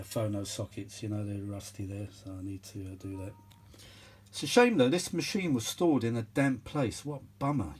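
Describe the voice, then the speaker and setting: A man's voice: fairly low pitch, darkish tone, breathy edge. A British man giving casual, unscripted repair commentary in a rambling, grumbling, blunt way. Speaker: host of vintage audio repair channel.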